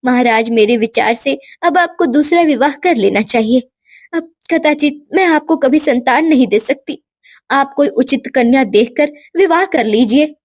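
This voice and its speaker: A woman's voice: high-pitched, a little slow, no echo. A woman voicing an anxious, devoted queen and mother in a cartoon, with formal, pleading speech. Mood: worried, pleading.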